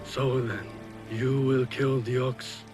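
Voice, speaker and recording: An actor playing a weary, stern older samurai lord in a video game, speaking formally and probingly. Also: male voice, medium pitch, flat delivery, close to an everyday voice. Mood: challenging. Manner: formal, measured, questioning. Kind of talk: video game cutscene acting. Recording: loud music behind the voice.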